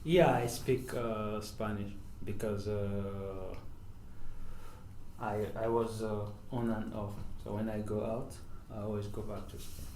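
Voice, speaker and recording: Slow-talking Nigerian man in an echoey room. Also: on the low side, flat delivery. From northern Nigeria. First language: Hausa. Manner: unhurried, halting, with sentences that trail off softly. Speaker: podcast guest, professional footballer. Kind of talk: casual interview conversation. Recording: echoey room, faint background noise.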